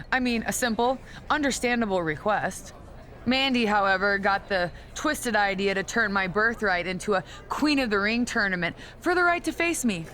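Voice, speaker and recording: A woman's voice: medium pitch, a bit bright, a little hiss or hum, lightly acted. A woman playing a pompous, entitled female wrestling queen in a video game cutscene, condescending and easily indignant. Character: haughty, self-crowned female wrestling queen, entitled and scornful.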